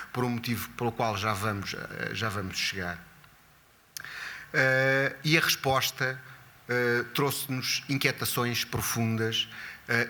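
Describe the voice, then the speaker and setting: A man with a European Portuguese accent giving a formal, partly read lecture, halting and repeating words as he goes. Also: medium pitch, pushed and bright. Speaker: guest lecturer. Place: lecture before an audience at an academy.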